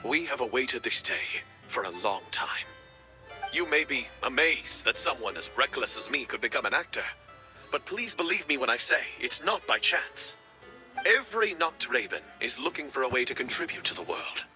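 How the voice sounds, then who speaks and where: A man's voice: mid-range voice, sing-song, moderate pace, bright, forceful tone. A man voicing a devoted, reckless raven warrior in a video game, speaking with grand, earnest drama. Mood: solemn farewell. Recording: background music under the voice.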